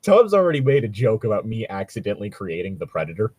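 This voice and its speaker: A man's voice: lowish voice, quick pace, husky, dry recording. A man chatting casually and jokily about tabletop roleplaying, with some offhand swearing. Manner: animated, jokey, swears casually. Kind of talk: casual chat.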